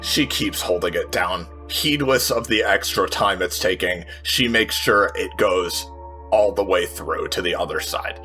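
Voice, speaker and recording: Bright, forceful, slow-talking male player. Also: low voice, faint music behind. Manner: deliberate, descriptive. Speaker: tabletop game player. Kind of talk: improvised third-person character narration.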